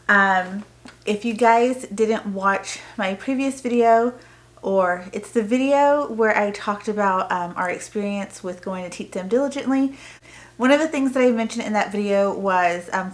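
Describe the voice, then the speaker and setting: A woman with an American accent talking casually to camera as a homeschooling mother, chatty and explanatory, rambling a little. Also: mid-range voice. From Texas, United States. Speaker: homeschooling mother and video host. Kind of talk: casual vlog product talk to camera.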